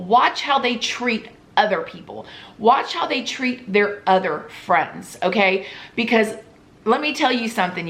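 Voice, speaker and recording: A young woman with a drawl talks to camera in a casual, chatty way. Her voice is slightly hoarse, as if she is losing it, and she speaks with earnest, pleading sincerity, addressing viewers like close friends. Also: mid-range voice, a bit bright. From Florida. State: losing her voice, sore throat. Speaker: YouTube vlogger.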